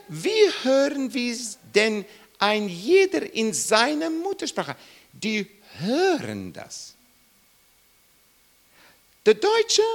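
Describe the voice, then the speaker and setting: Emphatic preacher man, swooping pitch. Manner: emphatic, rising and falling sharply, repeats questions to listeners. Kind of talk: Bible teaching sermon.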